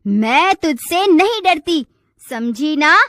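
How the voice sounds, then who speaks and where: A woman's voice: high-pitched, lively intonation, slow pace, a bit bright, no echo, studio quality, pitched above the performer's own voice, exaggerated voice. A woman voicing a bold, feisty village wife in a cartoon story. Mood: defiant. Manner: bold, defiant. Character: brave, feisty village wife defending her husband.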